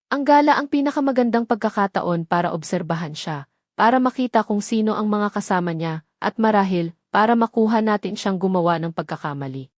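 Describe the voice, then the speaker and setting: A woman's voice: medium pitch, lively intonation, brisk, no room sound, clean studio sound, natural voice. A woman narrator with a Filipino accent voicing a resolute, protective husband in an audio drama, earnest and reassuring. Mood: reassuring, determined.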